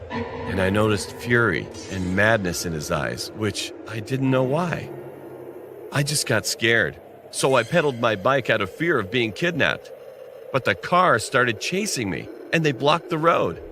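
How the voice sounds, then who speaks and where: A man narrating a scripted first-person horror story. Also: medium pitch.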